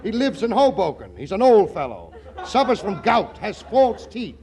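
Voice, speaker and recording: Male: self-important school boss for vintage radio comedy, highish voice, swooping delivery, glib salesman bombast. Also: big pitch swings, darkish tone, a faint crowd. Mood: hearty persuasion. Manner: bombastic, glib, fast-talking salesman patter. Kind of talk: 1940s radio comedy acting. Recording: vintage 1940s radio recording.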